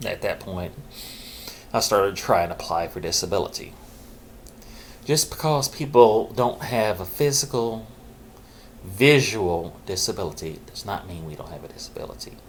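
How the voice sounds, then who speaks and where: A middle-aged man, a vlogger, candidly telling his own story in a halting, reflective way, holding his naturally loud voice down low. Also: animated, slight background noise. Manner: halting and reflective, with pauses and restarts; says he is keeping his loud voice low on purpose. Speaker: vlogger sharing his mental health story.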